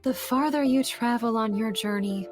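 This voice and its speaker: An actress playing a gentle, wise queen mother in a video game, speaking in a measured, solemn way over music. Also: fairly high pitch, natural voice. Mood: solemn, tender. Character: gentle wise queen mother counseling her young son. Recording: music under the voice.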